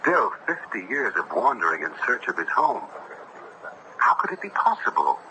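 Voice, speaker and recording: An actor in a 1970s radio drama playing an earnest, curious gentleman judge who speaks in formal, literary, old-fashioned phrasing. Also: lowish voice, big pitch swings, normal speed, highs cut off, heavily acted. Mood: incredulous. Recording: noisy old radio broadcast.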